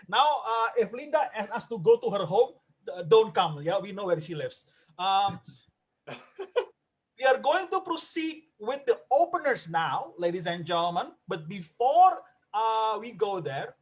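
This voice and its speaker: A man hosting a live stand-up comedy open mic in an excitable, animated way. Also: high-pitched, husky. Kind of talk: live comedy show emceeing.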